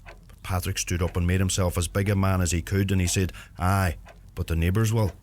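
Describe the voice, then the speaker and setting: A young farmer with a Donegal Irish accent , played by a man in a radio play, speaks in a fairly low voice. He is earnest, brooding and plain in his words, a man torn by guilt. Clear ambient noise sits behind him. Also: steady tone, brisk, a little mellow, natural voice. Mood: wistful, reflective.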